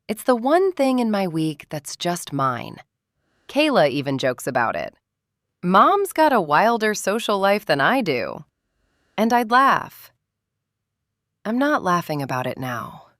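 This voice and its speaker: A woman in her forties narrating a first-person story as a candid, conversational confession, with emphatic short phrases and pauses. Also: medium pitch, fairly bright tone, no echo, clean studio sound. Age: 40s.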